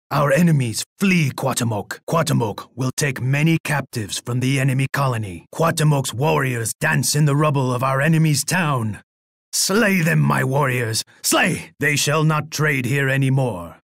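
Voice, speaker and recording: An actor playing a proud, menacing Aztec emperor in a video game, boastful and imperious, speaking with pompous theatrical weight. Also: male voice, mid-range voice, a bit bright, dry recording, clean studio sound. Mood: fierce. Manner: pompous, speaks of himself in the third person. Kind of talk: dramatic video game character lines.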